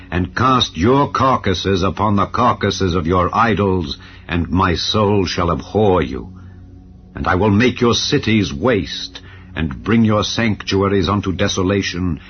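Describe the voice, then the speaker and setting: A man reading scripture aloud in a slow, solemn and measured way, with soft music behind him. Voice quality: deep, measured and grave. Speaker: scripture reader. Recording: dull audio with soft music. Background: soft ambient music.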